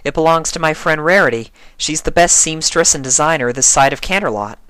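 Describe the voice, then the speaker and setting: A man's voice: on the high side, brisk, pushed and bright, smooth, no room sound, pitched above the performer's own voice. An earnest young mare pony from a storybook, voiced by a man, chatting politely and eagerly. The voice is lifted above the reader's own and recorded very dry, with an explaining, helpful manner. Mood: cheerful, informative.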